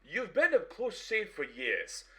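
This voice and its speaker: A man's voice: sits high, wildly swooping pitch, slow, fairly bright tone, exaggerated voice. A man playing an exasperated but loyal barbershop owner in a radio play, speaking earnestly and emphatically. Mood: scolding, worried.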